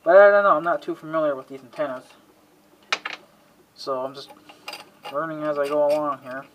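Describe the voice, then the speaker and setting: A man's voice: fairly high pitch, normal speed, fairly bright tone, slight background noise, low-quality recording. A man giving casual, offhand DIY vlog commentary, thinking aloud in a folksy way as he works. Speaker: amateur DIY video maker.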